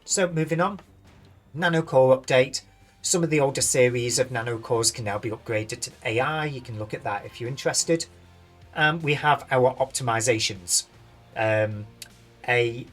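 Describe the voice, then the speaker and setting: A British man reading game patch notes aloud and rambling on them, tired, his voice going hoarse. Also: medium pitch. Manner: rambling, thinks aloud, loses his words. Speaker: gaming YouTuber.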